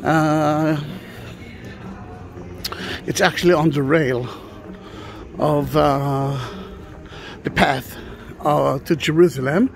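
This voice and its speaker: A man's voice: fairly high pitch, fairly even intonation, extremely slow, gravelly, pauses often, slight background noise. A middle-aged man guiding a walking tour outdoors, talking hesitantly with many fillers and restarts. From Israel. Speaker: tour guide. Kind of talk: walking tour vlog, unscripted. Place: outdoor plaza of an old train station. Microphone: phone or camera carried while walking.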